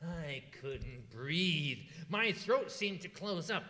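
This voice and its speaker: A very bright, forceful, fairly high male voice acted by a man plays a goofy, lovesick milquetoast. The delivery is slow, hesitant and corny in vintage radio comedy style, ringing in a hall. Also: lively intonation, exaggerated voice. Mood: earnest, goofy. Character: mild, goofy, lovesick male flute-playing suitor. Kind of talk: old-time radio comedy acting. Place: live performance in a museum hall. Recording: reverberant hall, live stage.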